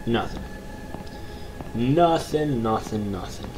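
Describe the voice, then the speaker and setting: A young man with a low voice commentating a horror game from his bedroom, joking with mock bravado and reading the game's text aloud. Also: lively intonation, slow. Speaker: gaming YouTuber. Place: small bedroom, indoors. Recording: noisy home recording.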